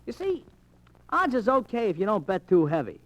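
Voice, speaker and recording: A small-time American racetrack bookie in a vintage radio drama. His voice sits high for a man and leaps around with great animation. He chatters in brash, streetwise slang. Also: huge pitch swings, moderate pace, a bit bright, highs cut off, heavily acted. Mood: chatty, confident.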